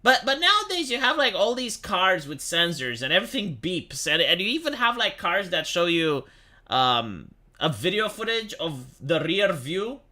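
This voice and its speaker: Young man from Romania, streamer, animated pitch, very bright tone, restarts a lot, swears casually. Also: normal speed. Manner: animated, many restarts, swears, talks to chat. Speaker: livestream host. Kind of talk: casual live-stream chat, reading articles aloud.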